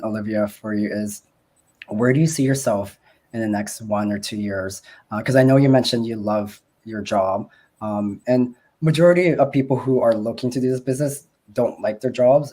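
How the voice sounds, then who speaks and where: A man in his forties, from the Philippines, talking on a video call with a voice gone raspy from a cold, rambling with many fillers. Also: lowish voice, moderate pace, warm tone. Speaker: guest asking questions. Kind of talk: casual video call chat.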